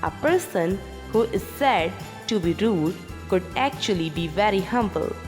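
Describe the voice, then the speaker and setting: A woman reading scripted stories for children like a teacher, pronouncing each word deliberately and clearly. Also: medium pitch, expressive. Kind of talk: scripted story narration for children. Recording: long reverb, music behind. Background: music.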